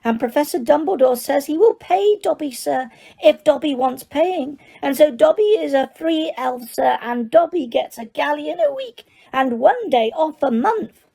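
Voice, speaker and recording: A woman voicing an eager, timid male house elf with a high squeaky voice and broken grammar. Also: expressive, a little slow, vocal fry, warm tone, heavily acted. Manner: broken grammar, frequent "sir".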